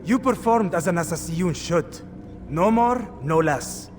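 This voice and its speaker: An actor playing a haughty, disapproving superior, curt, formal and scolding. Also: male voice, high voice, lively intonation, a little slow. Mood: cold disapproval. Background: music.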